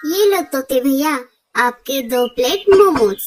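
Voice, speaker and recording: A woman's voice: high voice, animated, measured pace. A woman voicing a cheerful, polite mother bird who runs a food stall in a children's cartoon. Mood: cheerful, brisk. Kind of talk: Hindi cartoon voice acting. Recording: loud children's music behind the voice.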